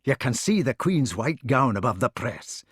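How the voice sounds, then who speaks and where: A man voicing a big, blunt knight with a gruff, low delivery. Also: big pitch swings, a bit bright, no echo, studio quality, exaggerated voice. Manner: gruff, deep, slow drawl. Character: big bluff Scottish knight, blunt and hearty.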